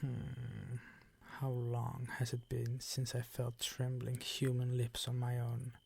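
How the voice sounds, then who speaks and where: A man voicing a predatory, possessive vampire count in an ASMR roleplay, with formal, teasing, commanding phrasing and a breathy voice that often sinks toward a whisper. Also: mid-range voice, monotone, slow pace, a little mellow, slight background noise. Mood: teasing, hungry.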